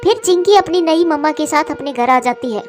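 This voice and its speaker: A woman narrates a children's cartoon tale from a script. Her pitch is very high, and faint music runs underneath her voice. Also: animated, a little fast.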